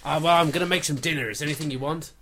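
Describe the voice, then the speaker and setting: A man's voice: on the high side, steady tone, racing, pushed and bright, a little hiss or hum. An English man playing a fussy, forgetful old father who stands for England, talking in a bumbling, hesitant, apologetic way. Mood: fussy, muddled.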